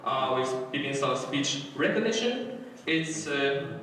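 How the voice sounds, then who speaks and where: A man's voice: mid-range voice, lively intonation, slow, fairly bright tone. A man with a Polish accent presenting a coding workshop in English, talking hesitantly with many fillers and restarts. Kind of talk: live coding workshop talk. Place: conference hall. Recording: echoey hall, distant mic, faint noise.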